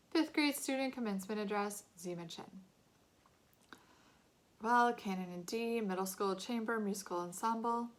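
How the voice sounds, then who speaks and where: A woman reads a children's novel aloud. Her voice has a medium pitch, and she narrates the scripted prose in an expressive storytelling manner. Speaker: audiobook-style reader.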